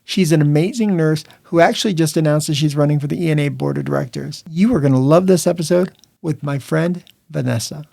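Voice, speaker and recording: A man's voice: a little high, a little fast, creaky, hardly any pauses, warm tone, no echo, studio quality. A man hosting a nursing podcast, talking earnestly to his listeners and then interviewing a guest. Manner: earnest, reads listener reviews aloud. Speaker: podcast host.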